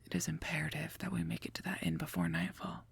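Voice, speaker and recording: A woman playing a stern, protective werewolf knight in a whispered ASMR roleplay, speaking formally and softly in a breathy voice, gently scolding and earnest, close to the microphone. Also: fairly low pitch, level tone, fast, heavily acted. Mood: serious, urgent.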